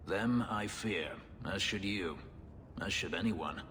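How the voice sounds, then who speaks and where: A man playing a brooding, bitter freed slave warrior in a video game, speaking in a solemn, measured way. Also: low-pitched, fairly bright tone. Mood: bitter, earnest. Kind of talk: dramatic video game acting. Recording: long hall reverb, background noise.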